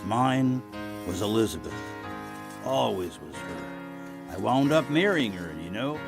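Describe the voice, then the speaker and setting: An actor playing a weary, wistful elderly American war veteran, reminiscing in a folksy, halting, rambling way as an audio drama monologue. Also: male voice, fairly low pitch, animated, vocal fry, over music. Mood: wistful, tender.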